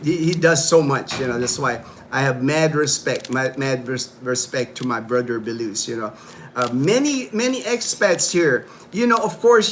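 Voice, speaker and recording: A man's voice: fairly high pitch, a bit bright, a little hiss or hum. A man hosting a casual livestream from his home, rambling in loose talk full of "you know", "like" and "man". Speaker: livestream host.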